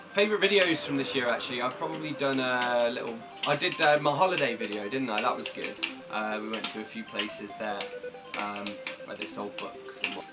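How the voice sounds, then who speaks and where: Male book vlogger, mid-pitched, informal and rambling, self-deprecating, clear music behind. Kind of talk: casual chat to camera.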